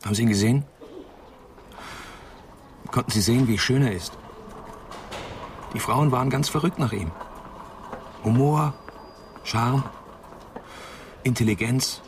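Ein Schauspieler spielt in einem deutschen Krimihörspiel einen bedrückten jungen Medizinstudenten, der stockend und gedämpft berichtet. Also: male voice, medium pitch, slight background noise, lightly acted. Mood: wistful. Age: young adult. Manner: halting, subdued, confessional. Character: troubled young male medical student, devoted to his flatmate. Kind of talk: German radio crime drama acting.

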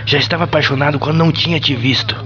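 A man's voice: on the high side, fairly even intonation, racing, bright, forceful tone. A man acting an earnest, formal, gushing suitor in a melodramatic radio soap. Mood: lovestruck. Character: Ricardo Antônio, earnest old-fashioned smitten suitor. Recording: dull lo-fi audio under music.

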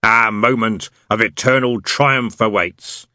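A man voicing a malicious senior male demon who speaks slowly and coldly. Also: on the low side, pushed and bright, dry recording, clean studio sound, heavily acted. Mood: menacing. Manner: slow, drawn-out, menacing delivery.

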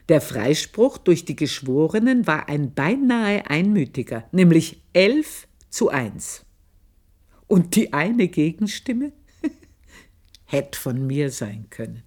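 A bitter old housemaid. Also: female voice, deep voice, a little mellow, exaggerated voice. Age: older. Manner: bitter, confiding, scornful. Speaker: housemaid telling her life story. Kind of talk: radio play monologue.

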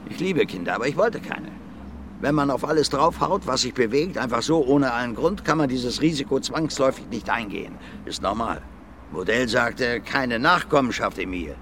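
Actor as a blunt middle-aged brawler, swinging intonation. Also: male voice, medium pitch, lively intonation, moderate pace, fairly bright tone, slight background noise, lightly acted. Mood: blunt, matter-of-fact. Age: 50s. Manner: blunt, plain-spoken, repeating himself. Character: gruff, blunt, self-confessed violent brawler in his fifties. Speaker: suspect being questioned. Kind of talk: radio drama acting.

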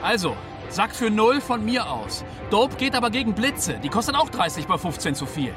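A sardonic young driver with a high, lively voice that swings in pitch. He bargains stubbornly in slangy speech, a little off-mic inside a car with music behind. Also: moderate pace, distant mic. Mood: haggling, defiant. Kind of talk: naturalistic radio drama dialogue.

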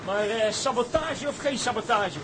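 A man's voice: extremely high pitch, expressive, moderate pace, background noise. A man playing a deferential, nervy ship's crewman in a Dutch maritime radio drama, hesitant and grumbling. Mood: uneasy. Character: deferential male crewman, nervy, grumbling about bad coal.

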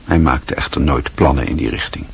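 A man narrating a radio play in a measured, even storytelling manner. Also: very deep voice, vocal fry, slightly rough.

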